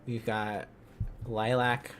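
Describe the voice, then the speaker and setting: A man's voice: on the low side, little pitch movement, slow, a bit bright, a little hiss or hum. A man livestreaming in a casual, playful way, thinking aloud as he chats to viewers. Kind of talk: casual livestream study chat.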